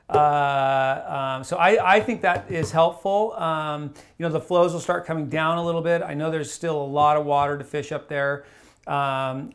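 Gravelly-voiced man giving a fishing report. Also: a little high. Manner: relaxed, rambling, many fillers and restarts. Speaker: fly shop fishing reporter. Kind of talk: casual fishing report to camera. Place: small wood-paneled room indoors.

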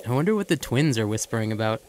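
A man's voice: mid-range voice, big pitch swings, fast talker, background noise, lightly acted. A man playing an easygoing, affectionate father of young twins in an audio drama. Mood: tender.

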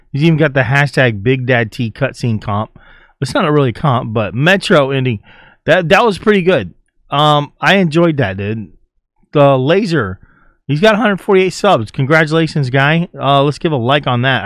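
A man's voice: medium pitch, lively intonation, normal speed, no echo, clean studio sound. A man with an informal, slangy way of talking, giving casual reaction commentary as a video host. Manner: informal, slangy, often chuckling.

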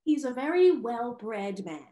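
A woman playing a poised, frank young gentlewoman who gossips, in a stagey Restoration comedy reading. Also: fairly high pitch, sing-song, slow. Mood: poised, curious. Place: indoor home room on a video call.

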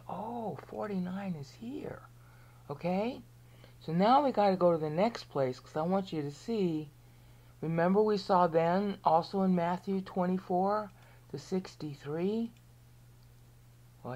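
A woman's voice: low voice, a little mellow. A woman with an American accent giving a rambling Bible lesson over a screen share. She often checks in with "okay" and sometimes sinks to a near whisper. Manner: drops to a near whisper at times. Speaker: Bible teacher.

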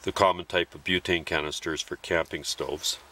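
A man giving a plain, methodical how-to voice-over about refilling camping fuel canisters, pausing between phrases. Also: low voice, fairly even intonation, sharp tone. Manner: plain, methodical explaining with pauses. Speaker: gear demonstrator. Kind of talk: instructional voice-over.

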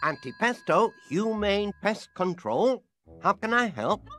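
A man voicing a genial, bumbling middle-aged inventor with a Northern English accent in an animated game. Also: high-pitched, unhurried, full character voice. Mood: cheerful. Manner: eager, genial, exclamatory. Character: cheery, bumbling middle-aged male inventor and pest controller. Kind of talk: animated game cutscene acting.

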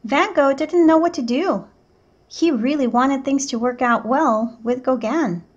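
A woman teacher reading a picture book aloud to children in a clear, expressive storytelling manner. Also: sits high, unhurried, dull audio. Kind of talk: children's read-aloud narration.

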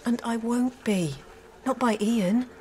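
A woman's voice: a little high, slow, a little mellow, slight background noise. A woman acting in a radio drama as a trusting, easily defensive lover of a married man.

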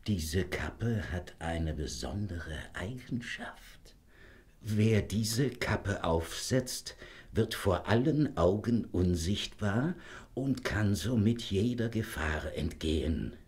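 Slow, low-voiced dying old father. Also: animated, darkish tone. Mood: solemn, gentle. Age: older. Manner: slow, deliberate, solemn. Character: frail old dying father, gentle and solemn. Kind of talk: German fairy-tale audio drama acting.

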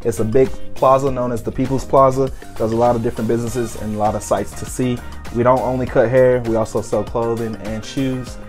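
A young man chatting easily about his craft while he cuts hair, with a mid-range voice. He talks on a lapel mic in a small barbershop, with soft music playing underneath. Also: mid-range voice, a little fast. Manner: relaxed, chatty, explains as he works. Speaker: barber and shop owner. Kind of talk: casual interview while cutting hair.

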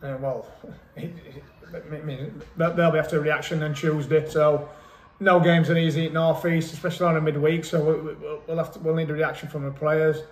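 Matter-of-fact football manager. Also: male voice, a little high, level tone. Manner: measured, matter-of-fact, frequent hesitant ums. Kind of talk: post-match interview. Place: small indoor club office. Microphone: camera mic at close range. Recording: echoey room, faint background noise.

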